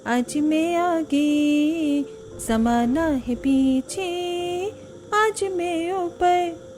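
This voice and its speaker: A woman's voice: a little high, darkish tone, a little hiss or hum. A woman reading scripted narration for a cartoon family story.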